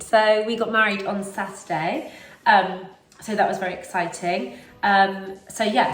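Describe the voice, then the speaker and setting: A young woman with a cold-roughened voice, chatting casually to camera in her kitchen and often correcting herself. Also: mid-range voice. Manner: chatty, rambling, self-correcting. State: hoarse with a cold. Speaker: cleaning vlogger. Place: home kitchen, indoors. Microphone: camera mic at a few feet. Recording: roomy kitchen echo, mic at a distance, soft music.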